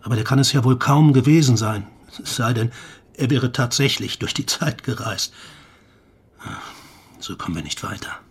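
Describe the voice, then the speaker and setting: A man playing a brusque, impatient investigator in a German radio play, with a rough, husky voice. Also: mid-range voice, normal speed, natural voice. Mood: impatient, skeptical. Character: brusque, impatient male investigator giving orders.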